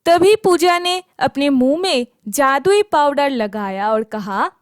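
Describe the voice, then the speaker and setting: A woman's voice: sits high, expressive, slow, dry recording, studio quality. A woman narrating a scripted children's story.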